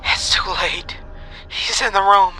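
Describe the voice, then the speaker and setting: A man's voice: high voice, steady tone, slow, bright, forceful tone, soft background music, pitched above the performer's own voice, exaggerated voice. A man voicing a frightened young girl, whimpering and pleading urgently on an emergency call in a horror story. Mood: terrified.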